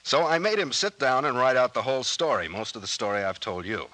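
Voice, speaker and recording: A confident American sea captain turned sleuth in an old-time radio mystery. His voice is bright and highly animated as he lays out explanations with genial self-assurance. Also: fairly quick, low-quality recording. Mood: explaining.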